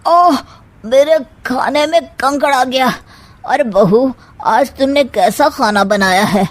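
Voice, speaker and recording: Woman doing a slow, sly meddling uncle. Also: high voice, no echo. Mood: complaining. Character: scheming, meddling uncle, sly male troublemaker. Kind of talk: Hindi animated comedy story acting.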